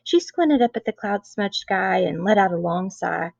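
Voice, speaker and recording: A woman reading a children's novel aloud in an expressive, animated storytelling style. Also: mid-range voice, normal speed, gravelly, dry recording. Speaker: read-aloud reader. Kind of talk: expressive children's book narration. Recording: dull, lo-fi close recording.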